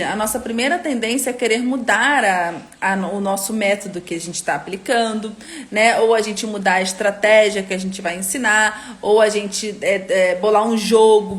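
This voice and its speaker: A young adult woman from Rio de Janeiro with a softened Carioca accent, explaining a teaching method in a live online class, often tagging sentences with little checks to her listeners. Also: medium pitch. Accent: Carioca Brazilian Portuguese, softened. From Rio de Janeiro, Brazil. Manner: explanatory, frequent tag questions. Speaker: educator and course host.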